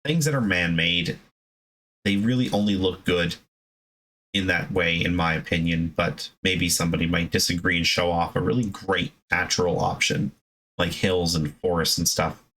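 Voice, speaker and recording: A man hosting a hobby video, giving a casual, unscripted explanation in a conversational, halting way with self-corrections. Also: low-pitched, fairly even intonation, a bit bright, low-quality recording.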